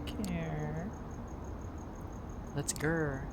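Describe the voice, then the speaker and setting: A man's voice: fairly high pitch, steady tone, very slow. Man narrating a game over background noise. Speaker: gaming channel player reading a visual novel aloud. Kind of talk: playthrough narration, reading game text aloud.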